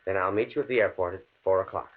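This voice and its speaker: An actor playing a grim, fatalistic professor with a deliberate, brooding manner in a 1940s radio drama. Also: male voice, sits low. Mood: guarded, businesslike. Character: grim, fatalistic male professor driven to revenge. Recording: tinny, band-limited old radio recording.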